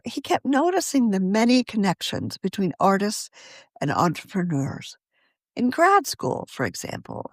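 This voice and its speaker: A woman narrates a written article for a podcast with lively, varied intonation. She reads in a measured, articulate manner. The sound is dry and close, with no room echo. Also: medium pitch. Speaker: magazine editor reading her own article.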